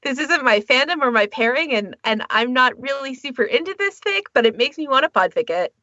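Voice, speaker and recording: A woman's voice: sits high, animated, brisk, pushed and bright, dry recording, muffled recording. A woman co-hosting a podcast, explaining her thoughts at length in a casual panel discussion. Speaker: podcast co-host.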